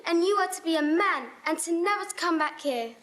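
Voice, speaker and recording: A woman playing an affectionate, excited little sister in a radio-play style reading. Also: very high-pitched, brisk. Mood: joyful.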